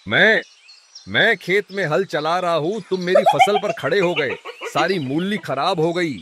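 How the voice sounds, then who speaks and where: A man's voice: high voice, unhurried, heavy background noise. A man voicing a haughty young prince in a cartoon story, with a formal, imperious manner. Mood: mocking, indignant.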